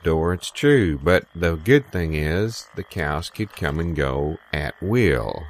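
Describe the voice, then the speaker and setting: Man playing a deep-voiced folksy old-timer, deadpan. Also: takes it slowly, heavily acted. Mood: rambling, earnest. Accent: American. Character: folksy rambling old-timer reminiscing absurdly. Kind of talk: improvised deadpan audio comedy.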